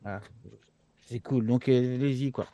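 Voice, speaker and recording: A man's voice: mid-range voice, fairly even intonation, moderate pace, dark tone, dry recording. A man chatting casually as co-host of a show, often repeating words for emphasis. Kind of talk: casual chat, informal announcement.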